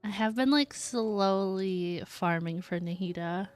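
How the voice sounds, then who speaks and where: A woman's voice: medium pitch, takes it slowly. Chatty woman streaming a game. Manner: chatty, reads game text aloud. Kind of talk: casual gameplay commentary.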